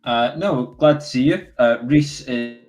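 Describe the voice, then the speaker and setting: A man's voice: medium pitch, measured pace, a little mellow, soft background music. A man hosting a casual live stream and talking in a chatty, easygoing way. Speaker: host.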